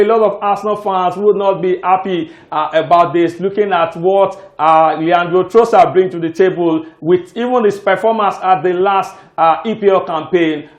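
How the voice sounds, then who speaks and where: A high-pitched man hosts a sports talk show and leans on frequent filler pauses as he reads out the news. His voice rings in a roomy, echoey space. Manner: hesitant, many filler uhs.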